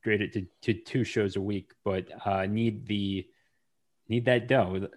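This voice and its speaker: A man's voice: lowish voice, fairly even intonation, measured pace. A man hosting a podcast interview, hesitant, with many fillers and restarts. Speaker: podcast host. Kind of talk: casual interview.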